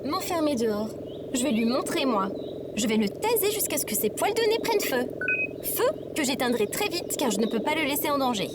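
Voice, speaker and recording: Woman playing a high-voiced, earnest female droid. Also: big pitch swings, brisk, very noisy. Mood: indignant. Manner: formal, literal, inquisitive. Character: earnest female AI companion droid, frustrated and questioning. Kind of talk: audio fiction acting.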